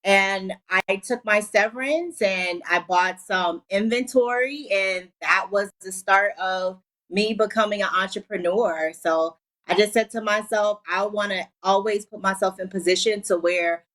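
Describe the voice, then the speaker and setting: An upbeat woman from Liberia, in her forties, talks as a guest on a TV talk show. She sets out her business advice point by point, in a voice of middle pitch. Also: a little slow, fairly bright tone, rough recording. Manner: upbeat, gives advice in clear numbered tips. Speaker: entrepreneur guest.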